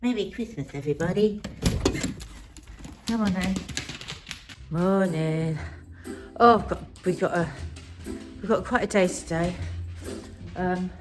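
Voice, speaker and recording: Middle-aged British woman, informal family vlogger, rambling and unhurried, music behind her. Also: on the low side. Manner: rambling, informal, self-interrupting. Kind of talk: casual chatty vlog.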